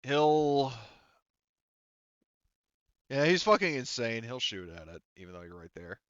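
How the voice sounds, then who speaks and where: Clear, bright-voiced man running a tabletop game. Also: mid-range voice, sing-song, measured pace, no room sound, highs cut off. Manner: informal, profane, thinking aloud while working out rules. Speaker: game master. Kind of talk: casual tabletop roleplaying game narration.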